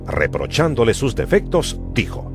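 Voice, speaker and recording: A man's voice: medium pitch, wildly swooping pitch, normal speed. A man narrating dramatized scripture in an emphatic, declamatory manner. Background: music.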